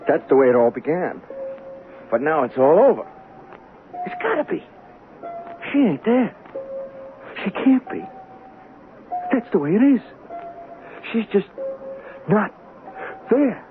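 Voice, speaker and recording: An actor playing a haunted young hustler in a seventies radio drama, streetwise, slangy and stammering. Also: male voice, high-pitched, big pitch swings, slow, long pauses, background noise, exaggerated voice. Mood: panicked. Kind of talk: 1970s radio drama acting. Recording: muffled old radio broadcast with music.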